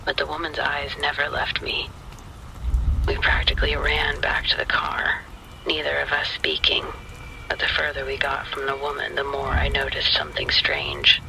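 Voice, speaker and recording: A man narrating a scripted spooky story in the first person, in a hushed, deliberate, suspenseful manner. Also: fairly high pitch, creaky, background noise.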